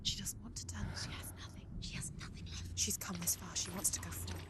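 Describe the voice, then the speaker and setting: A woman voicing an anxious inner voice in a video game, breathy and urgent, speaking in short repeated fragments. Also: lowish voice, fairly even intonation, fast, whispered, close to an everyday voice. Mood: anxious, doubting. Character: anxious, fretful female voices inside the heroine's head. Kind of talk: whispered video game acting.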